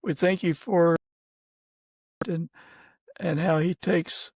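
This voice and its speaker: A man hosting a conversational podcast, with halting, gentle phrasing. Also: fairly high pitch, fairly even intonation, vocal fry, breathy edge, dry recording. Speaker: podcast host. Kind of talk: conversational interview and informal prayer.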